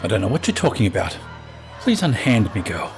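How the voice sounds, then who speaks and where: A man's voice: fairly low pitch, sing-song, brisk, vocal fry, faint music behind. A man acting a wary, menacing thief and gang leader with formal, guarded speech in a fantasy audio drama. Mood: wary, stern.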